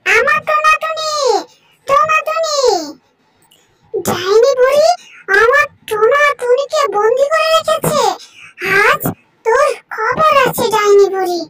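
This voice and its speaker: Woman as an anxious, very high-voiced cartoon village girl. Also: animated, slow pace, pauses often, heavy background noise, full character voice. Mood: indignant. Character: village girl, anxious scolding owner of pet birds. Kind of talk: children's cartoon story acting.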